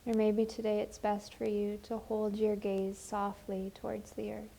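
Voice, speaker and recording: A woman leading a guided meditation as a teacher, speaking gently and soothingly, with unhurried phrases and long pauses. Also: mid-range voice, monotone, vocal fry.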